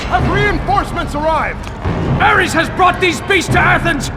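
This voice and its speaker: An actor playing a panicked, desperate defender of a besieged ancient city, shouting in a video game. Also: male voice, very high-pitched, a little slow, over loud music.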